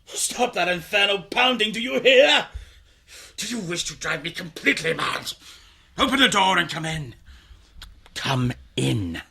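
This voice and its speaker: An actor playing an ailing, nervous aristocrat in a radio drama, theatrical and overwrought, swinging between rapture and gloom. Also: male voice, high-pitched, sing-song, measured pace, gravelly, pushed and bright, breathy edge, exaggerated voice. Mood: furious, frantic. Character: ailing, nervous, morbidly sensitive aristocrat, given to frenzy.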